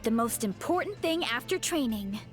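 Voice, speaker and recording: A woman's voice: a little high, expressive, moderate pace, natural voice. An actress playing a cheerful, laid-back young woman warrior in a video game, with an easygoing, breezy manner and sudden earnest bursts. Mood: chatty. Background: game music.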